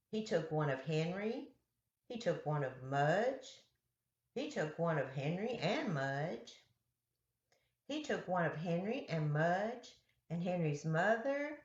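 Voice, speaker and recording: A woman reads a children's storybook aloud at a very unhurried pace. Her voice sits a little low, and she phrases things simply for young listeners. The sound is roomy and echoey. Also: big pitch swings, lo-fi sound. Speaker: children's storyteller reading picture books aloud.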